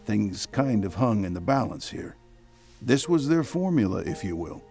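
A man hosting and narrating, measured and reflective. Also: lowish voice, sing-song, gravelly, dark tone, soft background music. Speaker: host. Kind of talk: scripted narration.

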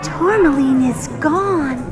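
An actress playing an earnest, cautioning good fairy in a fairytale audio drama, urgent in manner. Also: female voice, sits high, takes it slowly, over loud music, over a noisy crowd. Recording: long hall reverb.

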